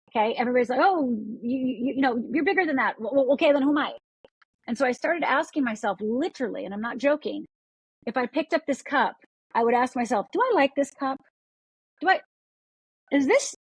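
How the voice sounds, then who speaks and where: A woman's voice: on the high side, slightly rough, no echo, rough recording. A woman from Upper Michigan, a podcast guest, talking with energy and often repeating words as she restarts sentences. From Upper Michigan, USA. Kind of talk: podcast interview.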